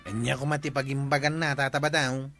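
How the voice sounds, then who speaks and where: A man's voice: medium pitch, level tone, a little fast, a bit bright, soft background music, close to an everyday voice. A man playing a carefree, mocking village farmer with an Ilocano accent in a radio comedy drama. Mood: nonchalant.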